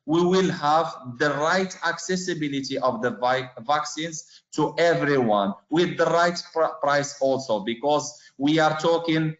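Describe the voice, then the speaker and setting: A man from Morocco joins a panel discussion over a video call, speaking in a somewhat high voice. He hesitates a lot, fills the gaps with "uh" and restarts his phrases. The sound is roomy and muffled, like a webcam mic in a bare room. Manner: halting, many fillers and restarts. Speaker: supply chain panelist.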